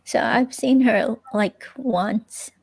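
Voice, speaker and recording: Woman acting hesitant, creaky-voiced ex-girlfriend under questioning. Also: a little high, takes it slowly, a little mellow, heavily acted, poor audio. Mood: hesitant, vague. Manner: hesitant, rambling, casual. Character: evasive, unsure young ex-girlfriend being questioned by police. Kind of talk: dramatic reading of an interview transcript, conversational.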